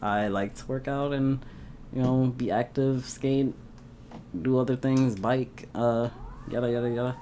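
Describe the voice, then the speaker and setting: A man's voice: medium pitch, a little slow, slight background noise. Laid-back young man chatting to camera. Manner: chatty, laughs often, animated bursts. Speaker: vlogger. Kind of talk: casual chat to camera. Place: indoors at home.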